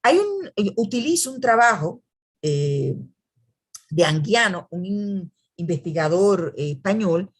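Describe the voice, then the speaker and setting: A woman's voice: medium pitch, sing-song, slow, slightly rough. A woman author presenting a book at an academic event, speaking in a hesitant way with many fillers and restarts.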